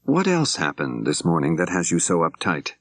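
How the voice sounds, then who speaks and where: A man voicing a level-headed law professor turned amateur sleuth in an audiobook, with direct, measured questioning. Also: sits low, huge pitch swings, no room sound, clean studio sound, full character voice. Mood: probing, calm.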